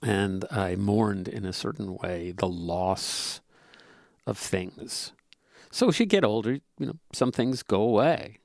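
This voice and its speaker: A man in his seventies talking in a measured, hesitant way with many fillers, as a podcast co-host in casual conversation. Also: on the low side, expressive, gravelly, no echo, studio quality. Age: 70s.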